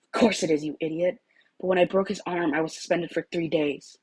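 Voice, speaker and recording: A woman's voice: fairly low pitch, fairly even intonation, brisk, a little mellow, breathy edge, dry recording. A woman voicing a surly, stubborn, hot-tempered teenage boy in a story, with a harsh, defiant manner.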